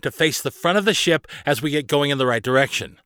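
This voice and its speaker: A man's voice: a little high, expressive, fast, fairly bright tone, smooth, no echo, clean studio sound, pitched above the performer's own voice. A man voicing a patient stuffed-toy crew member in a storybook who explains sailing simply. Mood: patient, explaining.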